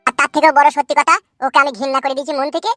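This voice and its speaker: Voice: high voice, little pitch movement, rapid-fire, sharp tone, no room sound, soft background music. Someone voicing a self-pitying jilted husband in a cartoon. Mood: aggrieved. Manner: long wailing complaints full of florid images. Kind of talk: cartoon dub acting.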